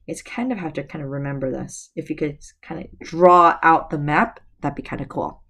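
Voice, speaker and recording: A middle-aged woman teacher, slightly hoarse, reading a novel aloud to her students with chatty asides, recorded on a laptop webcam at home. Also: sits low, moderate pace, a bit bright. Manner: chatty, addresses students directly. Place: room at home.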